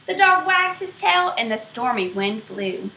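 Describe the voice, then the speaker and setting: Woman, picture-book reading, expressive, high bright voice, roomy echo. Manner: expressive, pausing to quiz young listeners. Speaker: storybook reader.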